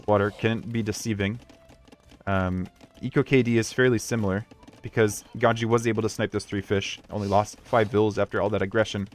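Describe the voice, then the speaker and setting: A man's voice: fairly low pitch, steady tone, fairly quick, faint music behind. A man giving casual live commentary on a video game match, analytical and tentative as he thinks aloud.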